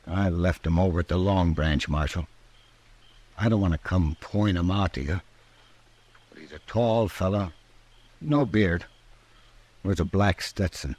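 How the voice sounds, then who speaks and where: An actor playing an uneasy, decent frontier cowhand with an American accent and folksy rural speech in a radio western. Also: male voice, sits low, measured pace, pauses often, a little mellow, slightly rough. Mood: uneasy, reluctant. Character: uneasy, decent frontier cowhand who rescues a lynched man. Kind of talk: old time radio western acting. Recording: noisy vintage radio drama recording.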